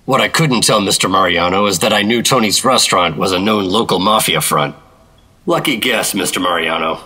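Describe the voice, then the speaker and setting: An actor playing a wary, terse 1950s secret agent in a noir radio drama, with a deadpan, clipped delivery. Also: male voice, sits low, fairly quick, breathy edge, heavily acted.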